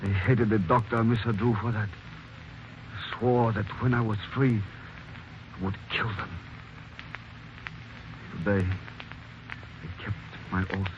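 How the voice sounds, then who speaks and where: An actor playing a solemn male servant who has kept an old oath, speaking in slow, measured 1940s radio drama style. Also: lowish voice, steady tone. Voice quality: slow, measured, grave delivery. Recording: muffled old radio recording with hiss.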